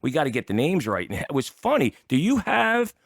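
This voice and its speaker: A man's voice: fairly high pitch, wildly swooping pitch, brisk, vocal fry, no echo, clean studio sound. A man in his sixties hosting a casual interview, rambling through his questions and teasing his guest. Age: 60s. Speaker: talk show host. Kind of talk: casual, joking interview chat.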